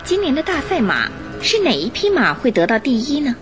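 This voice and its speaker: Animated woman narrating a radio drama. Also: high voice, fairly quick, music in the background. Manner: expressive storytelling.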